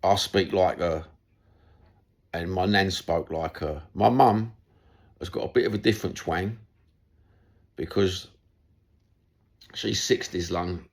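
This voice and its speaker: A middle-aged Cockney man from London talks straight to his audience in a loose, rambling monologue. His voice sits very low and has a rough, gravelly fry. He is blunt and chatty, and he swears now and then. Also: fairly even intonation, takes it slowly, pauses often. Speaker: football YouTuber. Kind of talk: casual monologue to camera.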